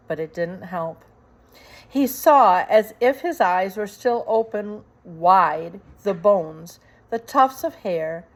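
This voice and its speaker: A woman reading a storybook aloud in a deliberate, suspenseful way, with emphatic dramatic phrasing. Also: mid-range voice. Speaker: storybook reader. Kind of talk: scripted dramatic storybook narration.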